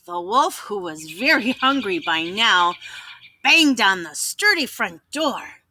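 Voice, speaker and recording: Slow, animated woman reading a children's story. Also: mid-range voice. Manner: dramatic, measured storytelling. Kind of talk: expressive children's read-aloud narration.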